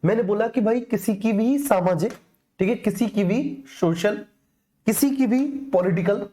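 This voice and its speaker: Mellow-voiced Indian woman teaching a class. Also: mid-range voice, a little slow. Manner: repeats key phrases for emphasis; addresses students familiarly. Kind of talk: explanatory exam-coaching lecture.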